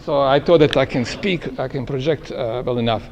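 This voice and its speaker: A man moderating a panel at a live event, speaking haltingly with many filler sounds. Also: medium pitch, muffled recording, slight background noise. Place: meeting room at an event. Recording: echoey hall with long reverb.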